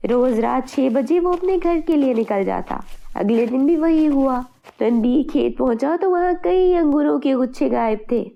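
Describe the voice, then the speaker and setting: A woman's voice: high-pitched, expressive, a little slow, creaky, few pauses, muffled recording. A woman narrator reading a scripted moral tale for children.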